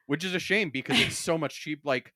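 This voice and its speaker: A man's voice: a little high, fairly quick, pushed and bright, no room sound. A man hosting a podcast in casual, wry banter, joking and swearing freely as he talks. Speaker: podcast host.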